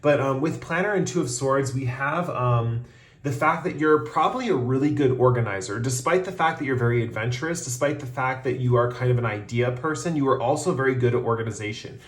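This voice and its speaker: A man giving a casual tarot reading, talking in a relaxed, rambling way with many fillers while he is losing his voice. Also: mid-range voice, reverberant. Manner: relaxed, rambling, frequent ums, slows to announce cards. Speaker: tarot reader.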